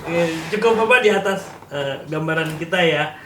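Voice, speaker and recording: A man with a notably high voice chats casually, polite and a little apologetic. He often laughs as he speaks. The sound is echoey, with faint noise behind him. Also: moderate pace. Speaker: guest reacting to music. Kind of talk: casual chat.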